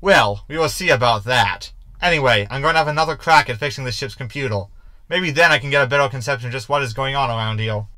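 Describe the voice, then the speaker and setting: A man's voice: medium pitch, fast talker, pushed and bright, clear, resonant tone, dry recording, close to an everyday voice. A man playing a cocky, sarcastic shapeshifting ship's hologram in a science fiction comedy audio drama, with wordy delivery. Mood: skeptical.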